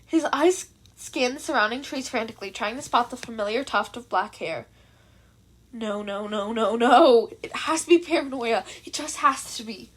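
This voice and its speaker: A woman reading fan fiction aloud as an expressive amateur story narrator. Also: on the high side, moderate pace.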